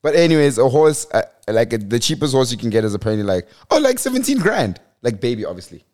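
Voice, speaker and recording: A man's voice: medium pitch, quick pace. A man in his twenties from South Africa, co-hosting a podcast in casual, slangy chat. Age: 20s. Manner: relaxed, slangy, laughs often. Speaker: podcast co-host.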